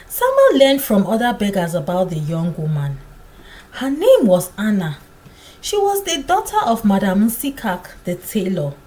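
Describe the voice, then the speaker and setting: Slow, expressive woman storyteller. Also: mid-range voice, poor audio. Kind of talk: scripted folktale narration.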